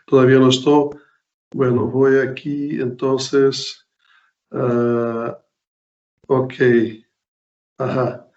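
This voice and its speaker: A man's voice: mid-range voice, little pitch movement, very slow, pauses often, a little mellow. A Portuguese-accented man lecturing over a video call, stopping often with fillers and restarting his sentences. His voice sits in a middle range. The sound is roomy and muffled, as through a laptop call. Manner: hesitant, many fillers and restarts. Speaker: guest lecturer. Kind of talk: online academic talk.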